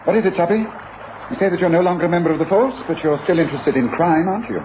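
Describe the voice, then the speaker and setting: A man playing a keenly observant English gentleman detective in a radio drama, brisk, probing and precise. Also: on the high side, close to an everyday voice. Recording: muffled, noisy old radio recording.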